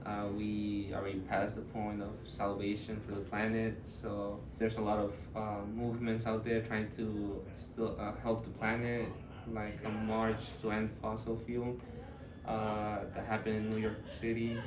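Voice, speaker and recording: Soft-spoken young man, low flat voice. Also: unhurried, reverberant, recorded from a distance, noisy background. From Ecuador. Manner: thoughtful, hesitant with many ums and uhs. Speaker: student interviewee. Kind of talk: casual interview answers.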